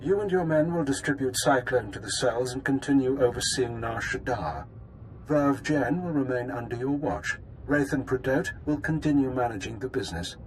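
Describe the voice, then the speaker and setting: A man's voice: medium pitch, moderate pace, a little hiss or hum, close to an everyday voice, rough recording. A man playing a cold, authoritative terrorist leader with formal delivery in a scripted game cutscene. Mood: commanding.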